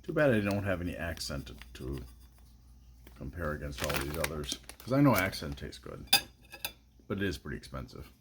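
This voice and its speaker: A middle-aged man reviewing food on his phone camera at home, talking in a dry, low-key musing way. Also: big pitch swings, normal speed, noisy background. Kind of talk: casual taste-test vlog. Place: small indoor room at home. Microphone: phone camera at arm's length.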